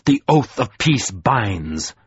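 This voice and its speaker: A grave, weary lord speaks in slow, formal, old-fashioned sentences as a man reading the book gives him voice. The tone is husky and dry. The recording sounds dull and lo-fi. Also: mid-range voice, big pitch swings, dry recording, heavily acted. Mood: solemn. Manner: measured, formal, archaic speech. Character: solemn grave male lord, stern, weary.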